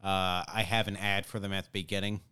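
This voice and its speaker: Male podcast host, radio-ready voice, fairly low and clear, wry casual banter. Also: level tone, fairly quick, sharp tone. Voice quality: old-school radio voice. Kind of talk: casual comedic chat.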